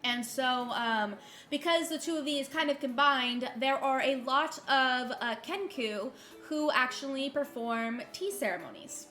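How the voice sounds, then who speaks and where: A woman running a tabletop game as game master, describing the world in a loose, halting way with many ums and restarts. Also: sits high, sharp tone, faint music behind. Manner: hesitant, lots of ums and restarts while describing.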